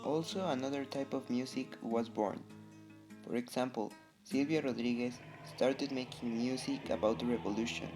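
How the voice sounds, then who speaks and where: Mellow guy w a reading history narration, guitar music behind him. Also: medium pitch, moderate pace. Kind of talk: scripted narration of a student-style history newsreel. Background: guitar music under the voice.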